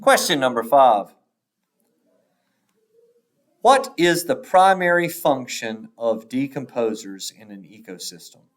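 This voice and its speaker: A male teacher reads a test aloud at a slow, careful pace. His pitch moves up and down noticeably as he sets out each question and option, pausing between them. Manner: deliberate, instructional, pausing between answer choices.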